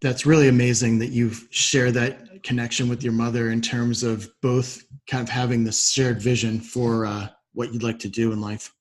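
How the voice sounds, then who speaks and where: Affirming man hosting, mid-pitched voice. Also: flat delivery, moderate pace, few pauses. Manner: affirming, thoughtful. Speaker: podcast host. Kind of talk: conversational interviewing.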